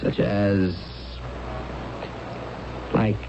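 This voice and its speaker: An actor playing an anxious, insistent young jazz musician husband with an American accent, halting and slangy in a radio drama. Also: male voice, on the low side, little pitch movement. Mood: defensive, evasive. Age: young adult. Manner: halting, slangy, repeating himself. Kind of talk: 1970s radio drama acting. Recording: noisy, muffled 1970s radio drama tape.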